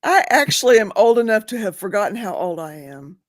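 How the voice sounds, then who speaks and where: A woman's voice: mid-range voice, animated, a little fast, no room sound. Woman in her 50s, casual chatty meeting talk, laughs easy, dry close sound. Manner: chatty, laughs easily, asks follow-up questions. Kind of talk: casual chat.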